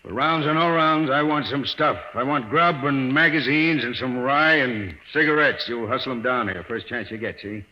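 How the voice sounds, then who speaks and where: An actor in an old-time radio drama playing a surly, bossy gangster on the run, with curt, contemptuous, slangy tough talk. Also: male voice, medium pitch, moderate pace, few pauses, lightly acted. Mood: demanding. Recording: dull lo-fi old radio recording.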